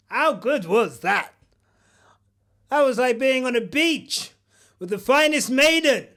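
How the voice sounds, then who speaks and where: Man, very high voice, pompous parody newscaster, jokey asides. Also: a bit bright. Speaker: comedic news host. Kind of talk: parody news commentary.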